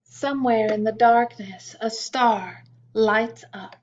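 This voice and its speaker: A woman reads a children's poem aloud at an unhurried, lingering pace, her voice gentle and a little high. She drops into soft hushed asides between the verses. The recording is dull and lo-fi. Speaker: storytime reader. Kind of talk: reading a picture-book poem aloud to children.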